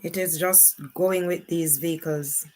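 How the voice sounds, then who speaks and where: A woman's voice: fairly low pitch, fairly even intonation, a little mellow, rough recording. A woman with a Jamaican accent giving casual, unscripted live commentary as a streamer, often repeating her exclamations. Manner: unhurried, repetitive exclamations.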